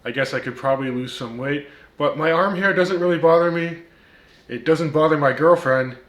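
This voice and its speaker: A man's voice: a little high, moderate pace, fairly bright tone, echoey room. A man with a slight Turkish accent, hosting a casual vlog and answering critics with wry comebacks and frequent ums and uhs. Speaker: watch reviewer, channel host.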